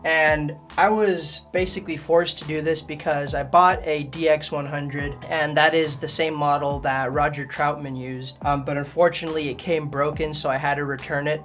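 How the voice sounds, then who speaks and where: A man with a slightly high voice presents a how-to tutorial in a casual, friendly manner. He addresses the viewers directly as a channel host would. Some music is heard behind him. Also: little pitch movement, normal speed, hardly any pauses, fairly bright tone.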